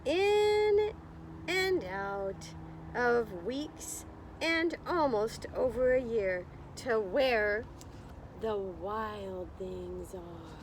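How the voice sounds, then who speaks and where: A woman's voice: on the high side, expressive, drawn-out words, background noise. A woman reading a picture book aloud to young children, expressively, with encouraging, chatty explanations along the way. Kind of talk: slow children's read-aloud with chatty asides to listeners.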